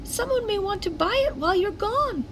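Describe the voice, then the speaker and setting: A woman's voice: very high-pitched, expressive, fairly quick, noisy background, voice pushed up. A woman voicing a teasing, bossy brother in a children's storybook.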